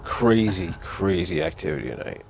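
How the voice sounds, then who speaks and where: Man, very deep voice, investigator, animated recap. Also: normal speed, vocal fry, soft background music. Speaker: paranormal investigator.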